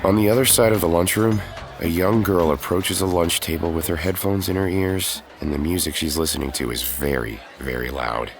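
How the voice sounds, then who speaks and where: A man's voice: low-pitched, steady tone, normal speed. A man giving scripted narration for an audio drama, telling the story in the present tense. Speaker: narrator and lead character. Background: music.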